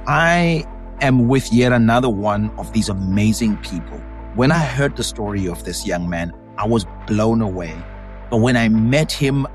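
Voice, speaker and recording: A man with a Zimbabwean accent hosting an interview podcast, speaking in an earnest, measured and emphatic way. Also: medium pitch. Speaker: podcast host and democracy activist.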